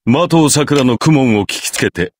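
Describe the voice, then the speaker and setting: An actor playing a cold, imposing middle-aged priest in an anime visual novel, speaking in a measured, condescending way. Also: male voice, medium pitch, lively intonation, a little hiss or hum, natural voice. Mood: cold, dismissive.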